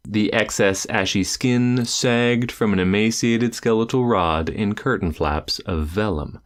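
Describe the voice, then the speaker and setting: A man reading an audiobook aloud, narrating with measured, expressive pacing and dramatic pauses. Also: lowish voice.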